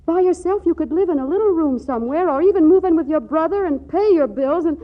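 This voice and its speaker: Restless young wife, high-pitched, flustered, affectionate but fed up; vintage radio comedy, muffled old recording. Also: female voice, expressive, fast. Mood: fretful, insistent. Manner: flustered, fluttery, stammering repeats. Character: restless, exasperated yet loving young wife. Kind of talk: 1940s radio comedy acting. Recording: dull 1940s radio broadcast recording.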